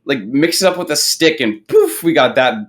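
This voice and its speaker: Young adult guest in loose podcast talk, animated intonation, hesitant phrasing packed with fillers. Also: medium pitch, normal speed. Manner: hesitant, heavy use of "like". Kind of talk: casual podcast discussion.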